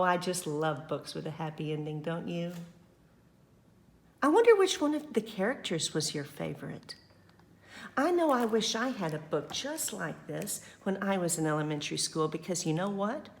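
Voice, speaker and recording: Slow, encouraging woman reading to children. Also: medium pitch. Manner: affectionate, encouraging, addressing young children directly. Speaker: school librarian reading aloud to children. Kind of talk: expressive picture-book read-aloud with chatty asides.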